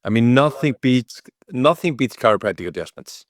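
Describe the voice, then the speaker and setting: A man with an Icelandic accent talks in a loose, conversational way, as an interview guest would. His pitch sits in the middle of the male range. He rambles a little and acts out bits of dialogue, in a dry recording. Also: lively intonation, moderate pace, no echo. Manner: informal, rambling, acts out quoted remarks. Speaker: interview guest, chiropractic clinic owner. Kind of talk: casual conversational interview answers.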